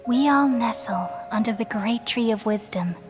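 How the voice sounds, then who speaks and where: A woman performs an ancient, self-sacrificing goddess of wisdom from a fantasy game. Her voice sits fairly high and moves through solemn, poetic lines with serene, measured care. Soft music plays behind her. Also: lightly acted. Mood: serene, wistful. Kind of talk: scripted dramatic game voice acting.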